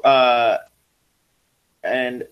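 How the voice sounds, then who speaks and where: A young man co-hosting a live podcast from a home living room on a handheld mic, chatting casually and reading viewer comments aloud with excitement. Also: medium pitch, drawn-out words, highs cut off. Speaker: podcast co-host.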